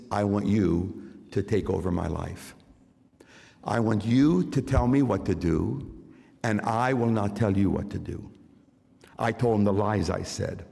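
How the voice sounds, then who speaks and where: Older man, emphatic sermon testimony, repeats phrases, gravelly voice, long church reverb. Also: fairly low pitch, a little mellow. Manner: emphatic, repeating key phrases, direct address to listeners. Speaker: concert pianist giving testimony. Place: large church sanctuary.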